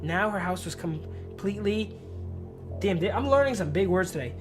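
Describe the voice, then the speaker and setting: A man's voice: sits high, lively intonation, moderate pace, music in the background. A man livestreaming a game, reading its story text aloud and chatting in slang, stumbling over long words. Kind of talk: narrating game text, casual chat with viewers.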